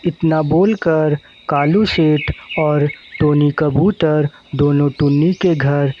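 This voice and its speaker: Slow-paced male cartoon storyteller. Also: a little high, fairly even intonation. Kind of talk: scripted cartoon story narration. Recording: dull sound with faint noise.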